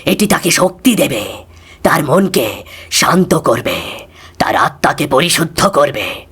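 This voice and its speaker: An actor voicing a solemn, wise male sage in a cartoon fairy tale, grave and deliberate in manner. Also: medium pitch, sing-song, a bit bright, husky, exaggerated voice. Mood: solemn counsel.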